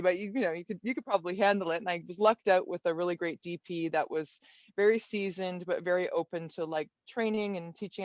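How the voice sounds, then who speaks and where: A woman colorist on an online panel, telling her career story in a relaxed, thoughtful way with many fillers and restarts. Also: lowish voice, no echo, lo-fi sound. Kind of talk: casual panel talk.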